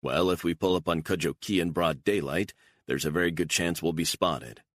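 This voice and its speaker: A cool, controlled assassin who keeps every line short and firm, as a man plays him. His voice sits extremely deep and level. The sound is close and dry. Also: moderate pace, dry recording. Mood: steady. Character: calm, hard male assassin, controlled.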